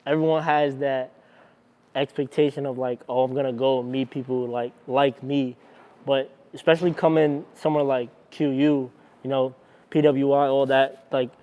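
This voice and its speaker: A young man student talks casually on a panel, his sentences full of fillers. His voice is mid-pitched with a warm, mellow tone. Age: young adult. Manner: casual, lots of "like".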